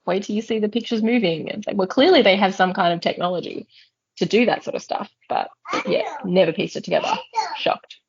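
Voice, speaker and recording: A woman's voice: medium pitch, brisk, highs cut off, slight background noise. A woman co-hosting a podcast, talking casually in a discussion of a book. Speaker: podcast co-host.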